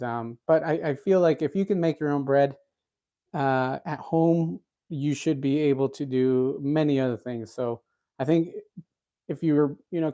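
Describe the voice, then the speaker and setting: A man's voice: mid-range voice, mellow. Rambling man hosting a cooking livestream. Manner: rambling, many fillers and restarts. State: recovering from a cold. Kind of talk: casual livestream chat reading comments.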